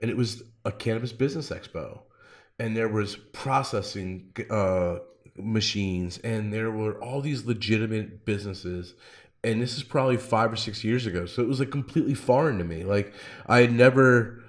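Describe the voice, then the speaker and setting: A man's voice: on the low side, moderate pace. A man hosting a podcast, telling stories in a casual, slangy way peppered with "like". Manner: informal, slangy, frequent 'like'. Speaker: podcast host. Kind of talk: casual chat, personal storytelling.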